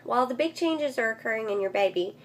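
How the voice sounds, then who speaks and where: A woman's voice: a little high, lively intonation, fast. A woman vlogger with a congested, nasal voice, recovering from losing it, speaking in a casual update style and recorded on a phone. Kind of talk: casual update vlog, reading aloud from a book. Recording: clear background noise, phone recording.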